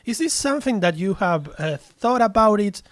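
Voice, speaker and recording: A man's voice: sits high, lively intonation, moderate pace, dry recording, studio quality. A man from Argentina, hosting a casual interview and asking playful, rambling questions. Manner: playful, jokey, asks long rambling questions. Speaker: host.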